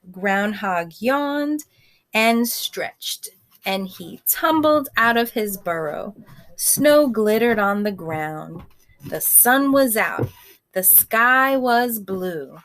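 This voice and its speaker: A woman's voice: on the high side, expressive, extremely slow, fairly bright tone. A woman reading a picture book aloud to young children, expressively, with conversational asides and questions to the listeners.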